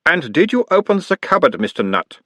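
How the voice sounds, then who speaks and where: A man's voice: a little high, big pitch swings, fairly quick, pushed and bright, dry recording, voice pushed up, exaggerated voice. A man voicing an orc who plays his own analyst, asking mild, coaxing questions in an Uberwaldian accent.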